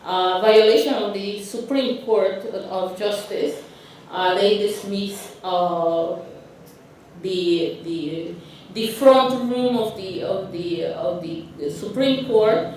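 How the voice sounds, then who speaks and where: A woman from Honduras with a Honduran Spanish accent giving a conference talk with slides, speaking haltingly with frequent restarts and fillers. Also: medium pitch, a little mellow, slight background noise. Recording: roomy hall, speaker far from mic.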